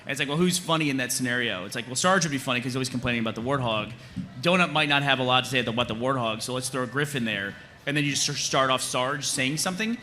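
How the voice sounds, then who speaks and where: A man's voice: mid-range voice, fast talker, bright, forceful tone, a little hiss or hum. A man talking off the cuff and jokingly on a live convention panel, answering audience questions as a writer. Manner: joking, self-deprecating.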